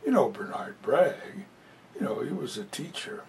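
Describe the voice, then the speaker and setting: A man interpreting a talk aloud, picked up from a distance in a hall with a faint low rumble behind him. His voice has a gravelly edge and moves lively up and down. He talks in a loose, conversational way, full of fillers. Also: a little slow. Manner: conversational, many "you know" fillers. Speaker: sign language interpreter voicing a presenter. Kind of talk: live spoken interpretation of a talk.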